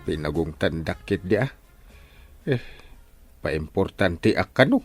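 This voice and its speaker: An actor with an Ilocano accent playing a flustered, self-important village captain who stammers and blusters in a radio comedy drama. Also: male voice, deep voice, expressive, measured pace, slightly rough, soft background music, heavily acted. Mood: rattled, sheepish.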